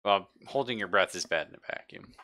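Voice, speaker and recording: Bright-voiced man running a tabletop game. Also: fairly low pitch, a little fast. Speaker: game master. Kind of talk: casual narration of a tabletop roleplaying game, live stream.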